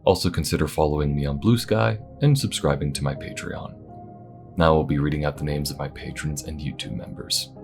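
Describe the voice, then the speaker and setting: Really deep voice guy thanking listeners in a scripted outro, polite n formal, music under him. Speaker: writer and voice actor of the channel.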